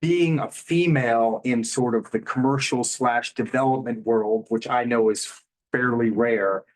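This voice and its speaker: Male host, measured and affirming, mid-pitched interviewer. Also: poor audio. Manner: measured and affirming, sums up lessons. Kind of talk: casual interview chat.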